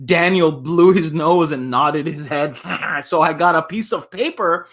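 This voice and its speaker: A man's voice: fairly high pitch, a little slow, a bit bright. A man reading a children's book aloud as a storyteller, playful and given to chuckling asides.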